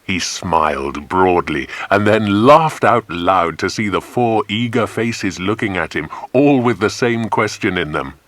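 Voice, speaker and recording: A man reads a children's adventure story as an audiobook narrator. His voice is on the low side and expressive, with animated intonation, recorded dry and close. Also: a little slow, hardly any pauses, no room sound.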